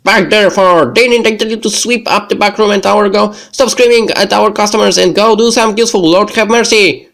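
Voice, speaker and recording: Man putting on a shrill, scolding, bossy mother. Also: steady tone, fairly quick, vocal fry, hardly any pauses, a bit bright. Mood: furious. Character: angry, bossy mother scolding her son at work.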